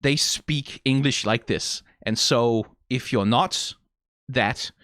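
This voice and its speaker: A young man speaking English with a strong Danish accent in a relaxed reaction video. His pitch sits in a middling range, and the recording is close and dry with no room sound. He is wry and playful, and he drops swear words for emphasis. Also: slow pace, clean tone, no echo. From Denmark (Funen). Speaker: YouTuber reacting to a game trailer. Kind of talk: casual commentary.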